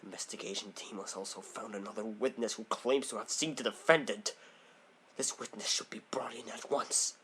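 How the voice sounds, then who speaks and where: A man's voice: fairly low pitch, steady tone, normal speed, a bit bright, a little hiss or hum, lightly acted, rough recording. A man playing a proud, formal prosecutor in an amateur courtroom audio drama. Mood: grave. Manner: formal, declamatory.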